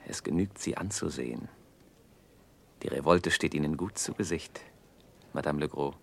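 Deep-voiced suave aristocrat. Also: male voice, moderate pace, vocal fry, full character voice. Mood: gallant, flattering. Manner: formal, polished, gallant. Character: suave, courtly, self-assured aristocratic chevalier. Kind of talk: theatrical German radio drama acting.

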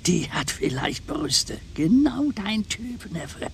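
An actor playing a roguish, chatty older uncle in a radio crime drama, teasing, with florid relish. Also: male voice, high-pitched, big pitch swings, slow, gravelly, slight background noise, heavily acted. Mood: gossipy, amused. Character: roguish, chatty old uncle, womanising bon vivant.

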